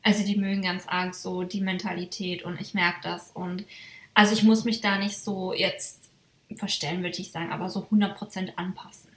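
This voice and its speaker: A German woman co-hosting a casual podcast, friendly and quick to laugh, asking her partner questions. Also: fairly low pitch, little pitch movement, echoey room, poor audio. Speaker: podcast co-host. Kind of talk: casual chat. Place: living in the United States.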